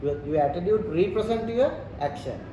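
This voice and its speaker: Echoey, high-voiced Sri Lankan man explaining. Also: expressive, moderate pace, dark tone. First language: not English. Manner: repeats phrases, explains step by step in simple non-native English. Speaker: interviewee explaining leadership and culture. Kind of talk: informal explanatory teaching talk. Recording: roomy, distant mic, noisy background.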